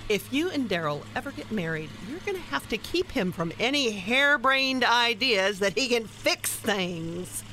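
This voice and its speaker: A woman's voice: a little high, big pitch swings, normal speed, hardly any pauses, bright, forceful tone, slight background noise, rough recording. A woman playing a bossy, teasing older grandmother in a radio play, dryly exasperated with her husband and scolding in a no-nonsense way. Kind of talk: small-town radio play acting.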